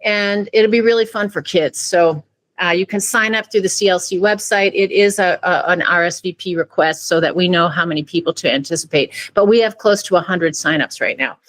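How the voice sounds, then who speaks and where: A woman's voice: on the low side, fairly quick, fairly bright tone. Upbeat woman sharing a committee update. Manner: upbeat, casual with fillers. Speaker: climate committee member. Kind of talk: meeting update.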